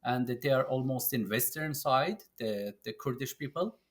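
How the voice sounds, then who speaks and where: A middle-aged man from Iran, a Turkish speaker, answering interview questions in halting English with many repeated "yeah"s. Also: mid-range voice. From Tabriz, northwestern Iran. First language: Azeri Turkish. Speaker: guest.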